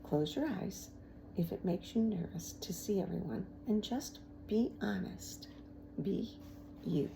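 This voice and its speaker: A woman voicing a kind, encouraging male schoolteacher in a storybook, gentle and lightly teasing. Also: mid-range voice, animated, slow, creaky, noisy background. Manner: gentle, reassuring.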